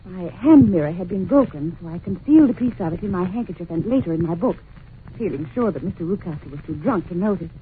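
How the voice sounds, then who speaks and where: A woman's voice: on the low side, quick pace, close to an everyday voice. A noisy, narrow-band vintage radio recording carries an actress as a young governess. She is well-mannered but anxious and curious, and speaks with earnest, theatrical expression. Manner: polite, earnest, expressive storytelling. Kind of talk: old-time radio drama acting.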